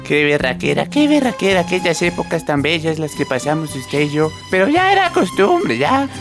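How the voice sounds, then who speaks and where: An actor playing a sentimental old country husband with a Colombian accent in a radio drama. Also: male voice, fairly high pitch, very animated, moderate pace. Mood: nostalgic, tender. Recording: radio play with loud music underneath.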